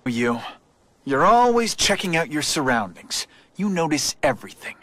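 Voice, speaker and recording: An actor playing a wisecracking, indignant young superhero with a sarcastic, quippy way of talking in a video game. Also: male voice, fairly high pitch, sing-song, normal speed, a bit bright, dry recording. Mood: sardonic, firm.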